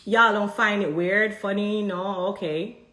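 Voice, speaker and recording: A woman with a Jamaican accent speaks directly to her audience, hammering home her point with repeated rhetorical questions. Her voice sits at an ordinary middle pitch. The room around her rings with noticeable echo. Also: unhurried, bright, forceful tone. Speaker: vlogger addressing viewers. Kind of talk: direct-to-camera commentary.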